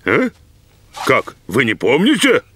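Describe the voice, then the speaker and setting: A man voicing a genial, burly middle-aged dog in a cartoon dub, hearty, courteous and expressive. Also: mid-range voice, takes it slowly, noisy background. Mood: friendly. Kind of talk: cartoon dubbing.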